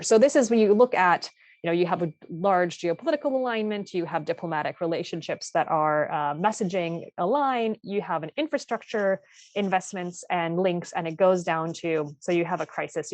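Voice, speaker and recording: A woman giving a panel presentation, talking haltingly with many fillers and restarts. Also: on the low side, no echo.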